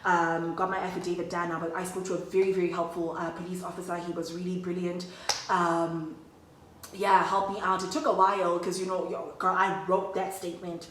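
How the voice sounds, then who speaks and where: A woman's voice: on the low side, little pitch movement, fairly quick, fairly bright tone, echoey room, far from the mic, slight background noise, rough recording. A young woman telling a story in a chatty, slangy way, talking straight to her viewers. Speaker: vlogger. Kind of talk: casual storytime chat to camera.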